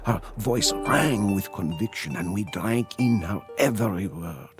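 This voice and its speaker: An actor playing a weary, devoted soldier, telling of a war campaign in solemn, theatrical game narration. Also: male voice, lowish voice, animated, unhurried. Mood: grave, weary. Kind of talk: dramatic scripted storytelling narration. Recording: loud music under the voice. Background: loud music.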